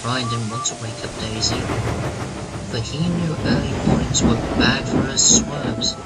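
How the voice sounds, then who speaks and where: A man's voice: mid-range voice, measured pace. A man narrating a scripted children's story as part of an amateur audio drama. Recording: loud music under the narration.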